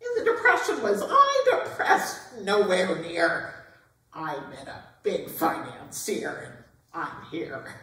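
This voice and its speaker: A woman playing a resilient, wry, aging has-been burlesque star, reciting dramatically and deliberately on stage. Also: mid-range voice, fairly bright tone. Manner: dramatic, deliberate, defiant delivery. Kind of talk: theatrical recitation of song lyrics, readers theatre. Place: performance hall at an arts center. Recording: very echoey hall, distant mic.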